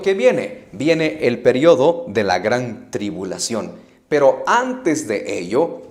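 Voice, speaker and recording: A man from Guatemala, preaching an emphatic sermon full of rhetorical questions and loud bursts. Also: on the high side.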